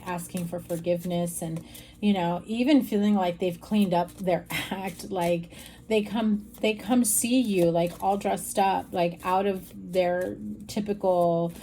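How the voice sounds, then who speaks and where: A woman with a slightly raspy voice giving a frank, chatty tarot reading straight to her viewers. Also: lowish voice, dark tone. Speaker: tarot reader. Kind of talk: casual tarot reading to camera.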